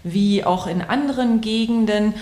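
A woman's voice: mid-range voice, a little slow, darkish tone. A middle-aged woman pastor from Bavaria answers interview questions in fluent standard German, explaining at length. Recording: echoey room.

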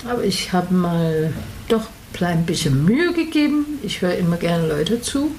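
Chinese-accented woman, halting German. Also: on the low side, slight background noise. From Taiwan. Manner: broken German, animated, laughs often. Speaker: radio show host, interviewee. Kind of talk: casual interview chat.

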